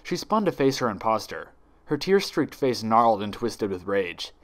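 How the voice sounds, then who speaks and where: A man's voice: on the low side, sing-song, normal speed. A man reading a fan fiction story aloud as a dramatic scripted narrator.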